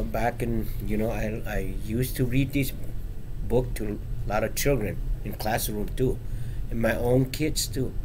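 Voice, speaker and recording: A man reading a picture book aloud to children, with halting, simple phrasing and casual asides to check that listeners follow. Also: fairly low pitch. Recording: faint background noise.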